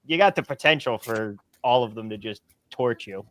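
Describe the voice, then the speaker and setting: A man on a live sports betting show, explaining his picks in a measured, casual way. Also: mid-range voice, a bit bright.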